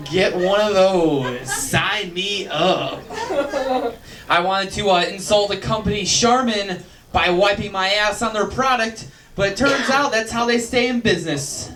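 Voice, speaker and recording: Nerdy young stand-up man, high voice. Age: 20s. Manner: nerdy, self-deprecating, quick asides. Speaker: stand-up comedian. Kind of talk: stand-up comedy set. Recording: echoey club, far mic, crowd.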